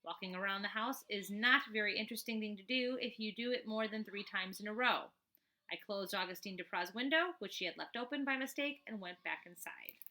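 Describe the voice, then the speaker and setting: Bright-voiced woman reading a children's story aloud. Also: medium pitch, fairly quick, low-quality recording. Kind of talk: expressive read-aloud with casual asides.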